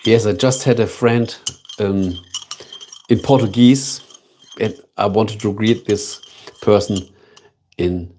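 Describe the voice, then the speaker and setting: A man with a German accent hosting a casual live stream, chatting slowly and thoughtfully with viewers and repeating himself between fillers. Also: on the low side, fairly even intonation, dark tone, a little hiss or hum. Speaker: livestream host.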